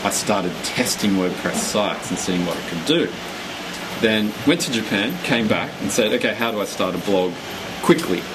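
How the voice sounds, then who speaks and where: A man, a guest chatting casually in an interview in a hallway at a convention event, talking in a relaxed, rambling way with fillers. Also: lowish voice, normal speed. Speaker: guest blogger. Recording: loud background hum, air conditioning.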